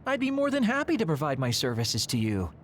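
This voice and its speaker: A man's voice: fairly high pitch, huge pitch swings, a little fast, a little hiss or hum. An actor voicing a sly, flippant young male assassin in an anime game dub, theatrical and mock-offended. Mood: smooth, teasing. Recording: music behind the voice.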